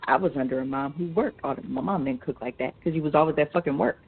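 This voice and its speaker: A woman's voice: sits low, fast talker, creaky, breathy edge. American woman in her 30s, casual and blunt, swears a lot, tinny phone sound. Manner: blunt, profane, emphatic. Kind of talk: casual chat. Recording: thin phone-like audio.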